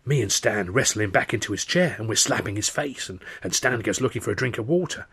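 A man voicing an excitable working-class storyteller spinning a yarn, with a British accent and a colloquial, dramatic delivery. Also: lowish voice, fast talker, breathy edge, heavily acted. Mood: animated.

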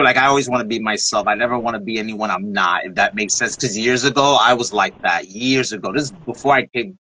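A man's voice: lowish voice, bright, forceful tone, low-quality recording. A man in his late thirties hosting a podcast, talking candidly and casually. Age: 30s. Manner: candid, laid-back, swears casually. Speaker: podcast host. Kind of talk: casual chat.

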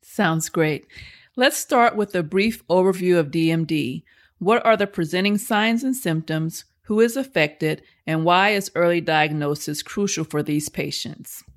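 A woman hosting a medical podcast, reading a formal introduction and disclaimers in a measured voice, then asking her guest questions. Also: fairly low pitch. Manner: measured, formal, read from a script. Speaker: podcast host, medical science liaison.

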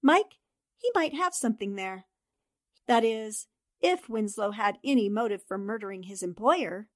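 A woman's voice: mid-range voice, expressive, moderate pace, no room sound, studio quality, natural voice. A woman playing a composed, inquisitive newspaper columnist in a 1940s style radio drama, earnest and direct.

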